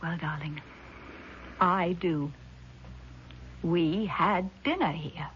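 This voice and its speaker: An actress playing a composed, doubting sister in a 1970s radio drama, measured, patronizing and patiently insistent. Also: female voice, on the low side. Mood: patronizing, firm. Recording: muffled vintage radio broadcast, faint noise.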